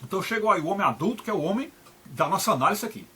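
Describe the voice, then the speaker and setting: An older man with a Brazilian Portuguese accent gives an unscripted video lecture, halting and repeating words and often adding tag questions. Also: sits high, expressive, sharp tone, slightly rough.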